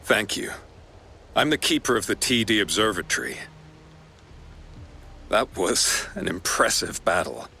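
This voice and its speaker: A man's voice: lowish voice, lively intonation, unhurried, gravelly, pauses often, sharp tone, slight background noise, full character voice. A man playing a courteous, earnest observatory keeper, speaking formally in video game dialogue. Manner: polite, formal.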